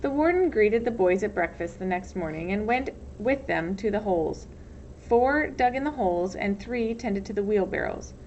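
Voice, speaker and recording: A woman's voice: medium pitch, lively intonation, normal speed, a little mellow. A woman reading a storybook aloud as narrator, expressively, like a teacher. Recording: dull lo-fi sound, faint noise.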